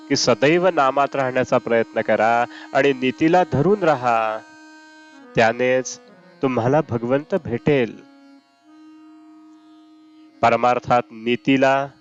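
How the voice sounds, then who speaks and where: A man reads a spiritual discourse aloud in a measured, deliberate way. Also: medium pitch, highs cut off. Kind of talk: read-aloud devotional discourse. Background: Indian classical raga music with sitar.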